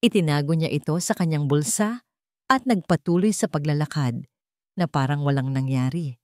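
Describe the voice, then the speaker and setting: A woman narrating a dramatic story in a measured, suspenseful way, as scripted audio fiction. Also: sits low, darkish tone, no room sound, studio quality.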